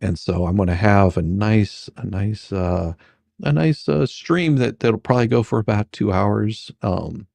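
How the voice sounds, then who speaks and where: A man's voice: lowish voice, a little slow, vocal fry, darkish tone, dry recording. A man hosting a livestream in casual chat, informal and often repeating himself. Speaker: stream host.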